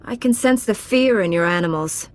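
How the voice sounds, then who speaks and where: An actress playing a stern, calm female Jedi knight who speaks in a composed, commanding way. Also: medium pitch, normal speed, natural voice. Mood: firm. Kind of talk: video game cutscene.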